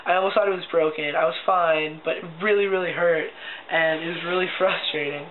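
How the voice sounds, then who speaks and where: A man's voice: on the high side, fairly even intonation, a little fast. Chatty young man, tinny lo-fi audio. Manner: chatty, upbeat, many ums and likes. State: voice cracking often. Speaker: vlogger. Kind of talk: casual video diary. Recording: tinny lo-fi audio with faint hiss.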